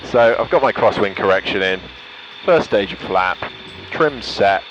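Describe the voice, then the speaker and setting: Low-voiced man piloting over loud engine noise. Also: animated, unhurried, dull audio. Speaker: pilot and vlogger. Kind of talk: casual chat and cockpit radio commentary. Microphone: headset. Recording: engine drone, radio headset in small plane.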